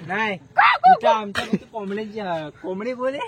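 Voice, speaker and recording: A man's voice: high voice, wildly swooping pitch, normal speed, heavy background noise. A male speaker with a Maharashtrian Marathi accent, bantering casually and coaching a friend through a line.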